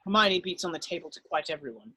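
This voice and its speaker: A woman's voice: fairly low pitch, lively intonation, fast, bright, forceful tone, husky, dry recording. Woman narrator reading stage directions, dry sound.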